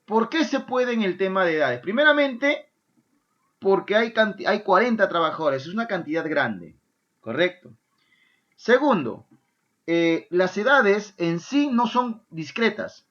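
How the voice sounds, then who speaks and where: A male math teacher gives a lively class. He keeps checking in with his students. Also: high-pitched, highs cut off. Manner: lively, asks "¿ya?" and "¿correcto?" often, answers his own imagined student questions. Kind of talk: online math lesson.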